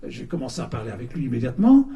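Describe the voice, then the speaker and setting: An older man giving a talk at a book launch in a bookshop, hesitant, repeating words and pausing with many fillers, recorded from a distance. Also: mid-range voice, wildly swooping pitch, gravelly, dark tone. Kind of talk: halting talk to a small audience. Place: bookshop, indoors. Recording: distant mic in a room.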